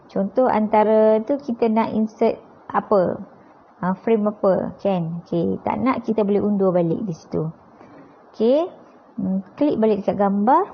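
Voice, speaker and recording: A woman's voice: medium pitch, breathy edge. A woman with a Malaysian accent giving an informal step-by-step app tutorial, conversational and often checking in with tag questions. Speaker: tutorial presenter, teacher. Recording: dull, lo-fi sound.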